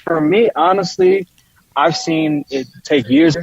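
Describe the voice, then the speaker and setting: Husky young guy chatting on a panel, casual, slightly lo-fi muffled audio. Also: a little high, huge pitch swings, slow pace, dry recording. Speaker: roundtable guest. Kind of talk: casual chat. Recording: slightly dull, lo-fi, dry sound.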